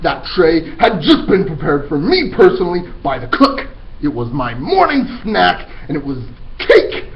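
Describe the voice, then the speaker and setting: A man's voice: a little high, wildly swooping pitch, unhurried, muffled recording, voice pushed up, exaggerated voice. A man voicing a monstrous, furious, bombastic headmistress in a dramatic storybook read-aloud, bellowing insults with relish. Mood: outraged.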